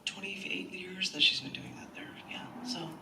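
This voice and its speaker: A woman's voice: lowish voice, moderate pace, gravelly, whispered, far from the mic. A woman hosting a live open mic, reading her poetry and chatting between pieces in a large indoor space. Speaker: poet and open mic host. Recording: echoey, noisy room, off-mic.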